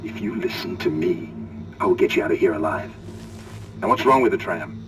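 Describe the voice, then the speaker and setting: An actor playing a gruff, authoritative security officer in a sci-fi video game, urgent but controlled. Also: male voice, low-pitched, animated, brisk, fairly bright tone, far from the mic, faint music behind, low-quality recording. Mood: firm, determined. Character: gruff commanding male security officer on a besieged spaceship. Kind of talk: video game dramatic acting.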